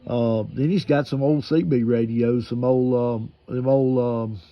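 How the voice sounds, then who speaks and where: Male ham radio operator, medium pitch, folksy rambling chat, dull lo-fi radio sound. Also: steady tone, unhurried. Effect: radio transmission. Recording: dull, limited-band amateur radio audio.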